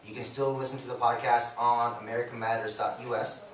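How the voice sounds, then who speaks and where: A man co-hosting a local radio talk show, talking in a laid-back, teasing way and quick to laugh. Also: mid-range voice, little pitch movement. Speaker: radio co-host. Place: radio studio. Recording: echoey room, distant mic, faint noise.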